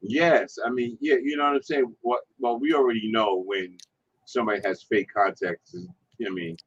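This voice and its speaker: A man's voice: mid-range voice, fairly even intonation, moderate pace, slightly rough, no echo, dull audio. A man hosting a casual chat, laid-back and fond of repeating his agreement. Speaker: host.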